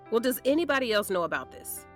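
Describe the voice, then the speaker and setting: A woman playing a sharp, protective, sassy defense lawyer who questions frankly and colloquially in an audio drama. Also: fairly high pitch. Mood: indignant.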